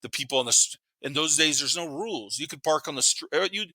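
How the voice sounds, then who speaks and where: A man's voice: fairly high pitch, expressive, fast talker, pushed and bright, no echo, poor audio. A man, chatting casually as a podcast guest and telling stories bluntly, with slang, swearing and repeated words for emphasis. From Panama. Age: 50s. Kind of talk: casual chat, storytelling.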